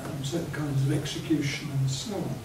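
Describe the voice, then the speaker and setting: A man on an academic panel speaking in a measured, scholarly way. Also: a little high, steady tone, a little mellow. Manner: measured, scholarly, clears his throat. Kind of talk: academic round-table discussion. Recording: echoey hall, distant mic, background noise.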